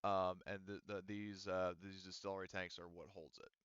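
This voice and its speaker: Male GM, low-ish smooth voice, rambly and hesitant, dry muffled online audio. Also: little pitch movement, moderate pace, a bit bright, no room sound. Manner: rambling, thinking aloud with many "um" and "like". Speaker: game master. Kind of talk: explaining rules and setting in a tabletop game.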